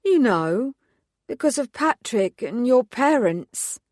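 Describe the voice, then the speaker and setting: A woman's voice: on the high side, big pitch swings, takes it slowly, a little mellow, no room sound, clean studio sound, voice pushed up, heavily acted. A woman voicing an effusive, chatty woman in her mid-forties who gushes and gossips. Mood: gossipy.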